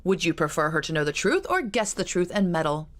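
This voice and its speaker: Woman playing a mocking, teasing gentleman. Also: on the low side, sing-song, fairly quick. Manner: teasing, showy.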